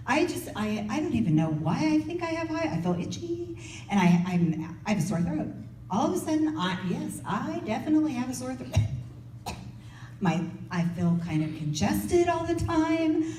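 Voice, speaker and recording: A woman giving a motivational goal-setting workshop, lively and playful, acting out inner doubts. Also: on the high side, a little hiss or hum. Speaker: workshop speaker. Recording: echoey room, voice from a distance.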